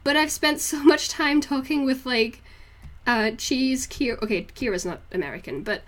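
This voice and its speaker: Casting: young female streamer, fairly high voice, Geordie-Kiwi hybrid accent, informal and giggly, rambling chat. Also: normal speed. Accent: hybrid northeast English and New Zealand. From northeast England, moved to New Zealand as a child. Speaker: art livestreamer. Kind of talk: casual livestream chat with viewers.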